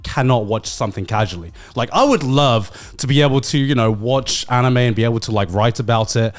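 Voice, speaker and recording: A man's voice: mid-range voice, a little fast. A man co-hosting a podcast in casual chat, bantering defensively and repeating words as he argues. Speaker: podcast co-host.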